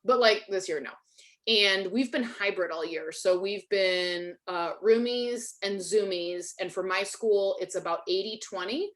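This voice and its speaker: A woman's voice: medium pitch, unhurried, a bit bright. A woman, hosting a casual video-call webinar, chatty and self-deprecating, with lots of likes. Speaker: webinar host, Spanish teacher.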